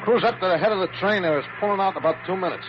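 An actor playing a cocky, mocking hired thug who bosses people around in an old-time radio drama. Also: male voice, sits high, racing, lightly acted. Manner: mocking, wisecracking, bossy, chuckling. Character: cocky, sneering hired gunman, taunting thug. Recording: muffled, faintly noisy old radio recording.

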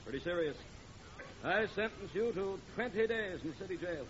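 Authoritative American judge, formal, lecturing, slightly high voice, old radio acting, noisy. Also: male voice, lively intonation, moderate pace, highs cut off. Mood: stern. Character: stern, lecturing municipal court judge. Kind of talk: 1940s radio comedy acting.

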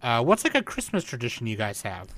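A man's voice: medium pitch, wildly swooping pitch, quick pace, fairly bright tone. Rambling male podcast co-host. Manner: rambling, many fillers and restarts. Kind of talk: casual chat.

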